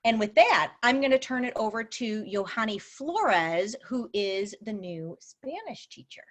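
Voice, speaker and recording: A woman's voice: on the high side, expressive, measured pace, fairly bright tone. A woman math teacher giving a chatty, upbeat talk about her classes at a school open house on a video call.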